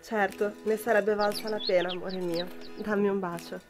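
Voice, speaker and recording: A woman's voice: mid-range voice, steady tone, normal speed, over music, close to an everyday voice. A young woman, a student actor playing an affectionate, coaxing wife in an amateur radio drama. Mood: tender. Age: young adult. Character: affectionate, soothing young-looking wife, Natura.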